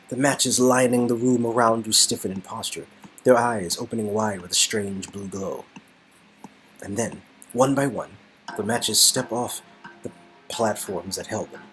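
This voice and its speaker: A man's voice: medium pitch, moderate pace, fairly bright tone, soft background music, low-quality recording. A man casually performing game dialogue in a hesitant, rambling, comic way, often repeating words. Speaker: performer reading game script.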